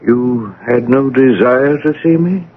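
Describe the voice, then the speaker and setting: An actor playing a gentle, apologetic older father speaking from beyond death in an old-time radio drama, mild and patient. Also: mid-range voice, slow pace, narrow, tinny audio. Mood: gentle, conciliatory. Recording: band-limited radio drama recording.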